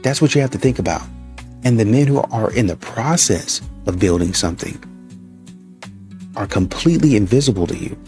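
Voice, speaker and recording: A man rants on his reaction channel, mocking and animated, sometimes slipping into acted-out quotes. His voice is mellow and relaxed in tone. Faint music plays underneath. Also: lowish voice, moderate pace. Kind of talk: ranting commentary.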